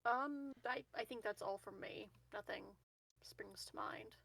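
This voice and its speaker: A woman's voice: medium pitch, unhurried, vocal fry, a bit bright, no room sound. A woman chatting casually as a player in a tabletop game. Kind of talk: casual chat.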